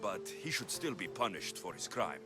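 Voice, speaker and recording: Brief: terse warrior-for-hire, low voice with lively intonation. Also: male voice, normal speed, over music. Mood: curt. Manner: curt, dry. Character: blunt male mercenary hero.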